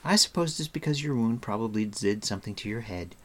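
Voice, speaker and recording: Man voicing a chattering, scolding young storybook girl, swooping pitch. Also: expressive, fairly quick. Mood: teasing, scolding. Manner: breathless, rattling chatter.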